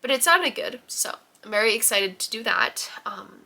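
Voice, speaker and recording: A woman's voice: on the high side, expressive, moderate pace, creaky, bright, forceful tone. A young woman with a stuffy, nasal voice from a head cold, chatting casually and rambling a little in a book vlog. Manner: chatty, rambling, many ums and likes. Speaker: book vlogger. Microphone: camera in her room.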